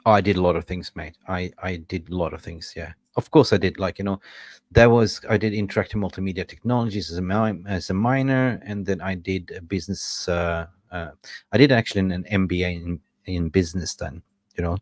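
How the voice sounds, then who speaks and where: A man's voice: low-pitched, brisk, no room sound. Swedish-born man in his fifties, dry sound. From Sweden. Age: 50s. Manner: playful, laughs often, slips into jokey impressions. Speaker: co-host of a football fan show. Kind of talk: casual podcast chat.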